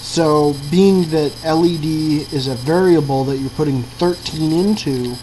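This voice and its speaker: A woman's voice: low-pitched, unhurried. A woman giving a casual, improvised walkthrough of a hobby project, hesitant and thinking aloud. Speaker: hobbyist maker showing an electronics project. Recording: clearly noisy with steady mains hum.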